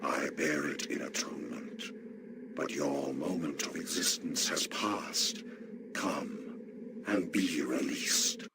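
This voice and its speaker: An actor playing an ancient, weary guardian specter in a video game, speaking in a grave, ponderous way. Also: male voice, very deep voice, slow, creaky, very noisy, heavily acted. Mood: weary, ominous. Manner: grave, ponderous, archaic phrasing.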